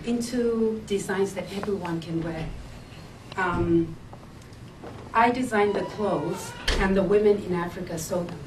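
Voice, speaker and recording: A woman from Zambia pitching her business plan, hesitating often with ums and uhs. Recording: echoey room, mic far off, faint hiss.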